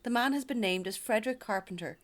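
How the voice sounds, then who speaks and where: An actress playing a composed, polite radio reporter in a radio drama, speaking in a measured way. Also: female voice, on the high side, natural voice. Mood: sober. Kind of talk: radio play acting, interview and news report.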